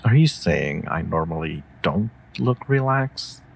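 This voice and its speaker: Wolf familiar, played by a man: stoic protector, slow drawl, deep register, dry humor, comfort audio. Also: big pitch swings, creaky, breathy edge, heavily acted. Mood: drowsy, tender. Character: stoic wolf familiar, gruff but tenderly protective of mage. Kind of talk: intimate comfort audio roleplay.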